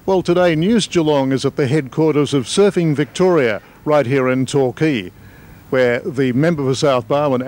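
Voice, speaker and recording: A man's voice: on the high side, a little mellow. A man with an Australian accent reporting and interviewing on location as a television news reporter. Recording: noisy outdoor location, traffic ambience.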